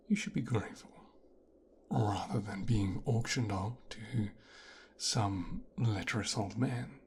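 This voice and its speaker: A man playing a possessive, coldly calculating young mafia boss's son in a hushed, breathy ASMR roleplay, speaking slowly and deliberately. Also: on the low side, slight background noise. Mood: possessive, gloating. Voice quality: hushed, breathy, half-whispered. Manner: slow, deliberate, musing with pauses.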